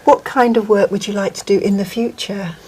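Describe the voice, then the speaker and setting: A woman examiner asking scripted questions in a measured, formal, polite way. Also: medium pitch, steady tone, darkish tone, husky, rough recording.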